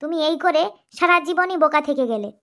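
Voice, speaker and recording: A woman's voice: sits high, animated, quick pace, sharp tone. A woman voicing an outspoken, cheeky youngest wife in a cartoon. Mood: scolding. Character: sharp-tongued youngest wife. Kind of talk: cartoon voice acting.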